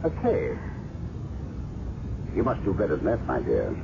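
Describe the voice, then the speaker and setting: A man's voice: low voice, huge pitch swings, a little slow, gravelly, exaggerated voice. A dull, noisy seventies radio drama presents a cold officer questioning a captive. His male voice swoops theatrically, condescending and full of menace. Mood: menacing, condescending. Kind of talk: 1970s radio acting. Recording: muffled, noisy 1970s radio drama recording.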